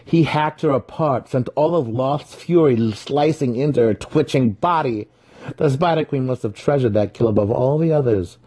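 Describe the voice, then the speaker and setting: A man voicing a boastful young male dark elf warrior, exclaiming emphatically in a fantasy story. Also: medium pitch, moderate pace, breathy edge, voice pushed up, lightly acted. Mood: excited.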